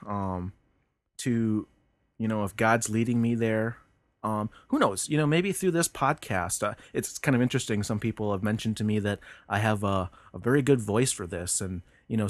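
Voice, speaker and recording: Man, low voice, dry close sound, earnest, halting, podcast talk. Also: dry recording. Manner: earnest, halting, many restarts and fillers. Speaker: podcast host. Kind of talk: reflective faith talk.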